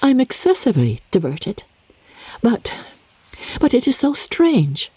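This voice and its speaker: A woman as a high-pitched, earnest young gentlewoman. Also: huge pitch swings, a little slow, slightly rough, dry recording, full character voice. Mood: flustered. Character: earnest, spirited young gentlewoman of a classic novel.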